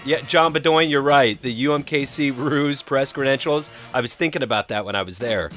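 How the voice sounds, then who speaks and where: A man's voice: mid-range voice, normal speed, a bit bright, soft background music. A man hosting a radio show, chatting casually and enthusiastically, rambling and restarting his sentences.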